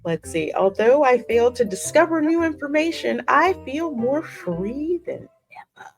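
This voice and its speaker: A woman's voice: sits high, sing-song, moderate pace, faint music behind. A woman hosting a gaming channel, reading game text aloud and musing conversationally as she comments. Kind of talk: casual game playthrough commentary with read-aloud narration.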